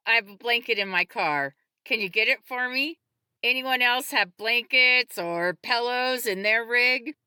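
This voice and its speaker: A woman voicing a capable, practical female medic, brisk and directive yet calm, in an audiobook. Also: mid-range voice, dry recording.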